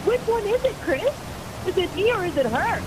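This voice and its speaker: A woman's voice: very high voice, very animated, moderate pace, noisy background. A woman in a confrontational phone call, insistent and repeating herself. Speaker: caller confronting her lover.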